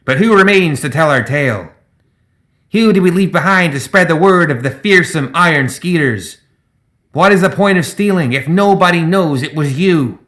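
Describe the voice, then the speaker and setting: Man acting a pompous high-pitched gremlin pirate captain. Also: animated, normal speed, a bit bright. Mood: grandiose. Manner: pompous, theatrical speechifying. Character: grandiose gremlin sky pirate captain, male, endlessly monologuing. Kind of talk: dramatized audiobook character voice.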